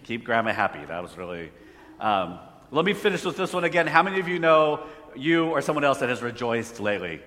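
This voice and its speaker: A man's voice: medium pitch, sing-song, fairly quick, fairly bright tone. A man preaching a conversational sermon, asking the audience questions. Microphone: phone hotspot for slides. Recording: echoey hall reverb.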